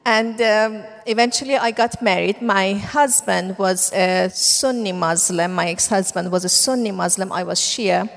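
A woman's voice: mid-range voice, unhurried, vocal fry, few pauses. Persian-accented woman in a reverberant hall. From Iran. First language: Farsi. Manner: earnest storytelling, with fillers. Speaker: speaker telling her life story. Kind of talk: personal testimony talk.

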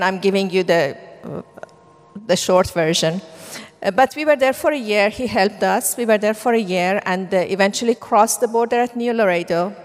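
Persian-accented woman in a reverberant hall. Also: mid-range voice. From Iran. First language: Farsi. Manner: earnest storytelling, with fillers. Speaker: speaker telling her life story. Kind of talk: personal testimony talk.

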